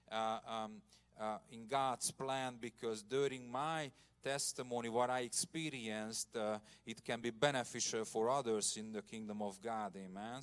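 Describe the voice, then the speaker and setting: A man's voice: medium pitch, clean tone. A middle-aged man from Hungary with a Hungarian accent, preaching as a guest in a church and sharing his personal testimony earnestly. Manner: earnest, gets loud and emphatic at points of praise. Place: church sanctuary.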